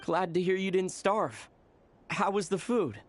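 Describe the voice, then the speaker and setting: Calm young traveler hero. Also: female voice, fairly low pitch, unhurried, a little hiss or hum. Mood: calm, reassuring. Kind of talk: video game character dialogue.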